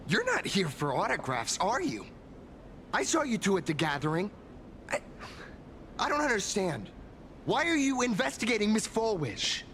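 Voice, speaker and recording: A man's voice: high-pitched, huge pitch swings, moderate pace, pauses often, fairly bright tone, a little hiss or hum, full character voice. A man playing an earnest, trusting heroic film star in a video game, speaking with some hesitancy. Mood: earnest, troubled. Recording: music behind the voice.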